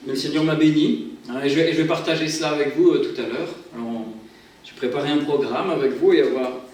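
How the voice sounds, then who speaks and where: A man's voice: fairly high pitch, fast talker, a little mellow, a little hiss or hum. A man from France, a pastor and guitarist, talking casually to a church gathering, chatty and self-deprecating with many hesitations. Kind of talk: informal church talk introducing music. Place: church hall. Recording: very echoey hall, far from the mic.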